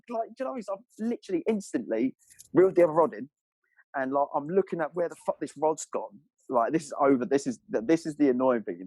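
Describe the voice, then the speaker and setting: A man tells stories at a fast clip in a casual, matey style. His speech is full of slang and swearing, his pitch sits in an ordinary male range, and the sound is dry and close. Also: a little mellow, no echo, low-quality recording. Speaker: podcast guest telling fishing stories. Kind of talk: casual animated storytelling.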